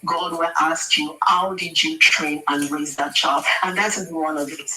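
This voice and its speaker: A woman's voice: deep voice, fairly even intonation, a little slow, pushed and bright, echoey room, far from the mic, poor audio. A Nigerian woman speaking as a radio guest, giving earnest, pleading advice in a preacherly way. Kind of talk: impassioned advice on air. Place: radio studio.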